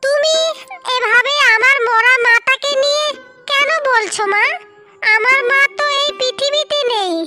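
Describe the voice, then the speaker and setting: Woman as a tiny, high-pitched pleading girl. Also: unhurried, over loud music. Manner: pleading, childlike. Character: sad, meek motherless little girl, pleading and obedient. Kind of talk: cartoon fairy-tale acting.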